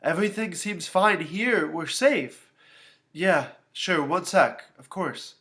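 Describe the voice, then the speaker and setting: Man as young female babysitter, story character, flustered, protective, panicky, raised pitch. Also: animated, slow, pushed and bright, full character voice. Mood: nervous, reassuring.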